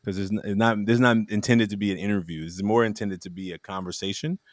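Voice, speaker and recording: A man with a somewhat low voice hosts a relaxed conversation and tells a long story in a loose, rambling way, often restarting his sentences. The sound is close and dry, with no room around it. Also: quick pace, no room sound. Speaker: podcast host. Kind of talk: casual conversational interview.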